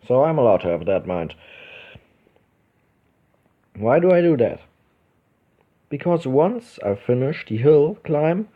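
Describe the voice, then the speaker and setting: Slow-talking German man, dull lo-fi mic. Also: mid-range voice. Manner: halting reading, stumbles over words and corrects himself. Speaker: gaming video host. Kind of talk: casual let's play, reading visual novel text aloud. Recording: dull lo-fi microphone, music behind.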